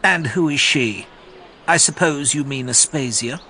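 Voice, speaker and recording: An eager young Athenian, respectful toward his elder, with a raised, bright voice. Faint ambience sits under the audiobook drama. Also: a little high, unhurried, a little hiss or hum. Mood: earnest. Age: young adult. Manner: earnest, deferential. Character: Menexenus, earnest young male Athenian.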